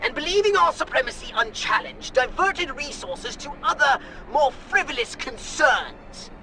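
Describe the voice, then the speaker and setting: A man playing an excitable, scheming, pompous alien commander in a video game, verbose and theatrical, prone to bursting into shouts. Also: extremely high pitch, measured pace, soft background music, exaggerated voice, rough recording. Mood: lecturing. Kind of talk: animated video game acting.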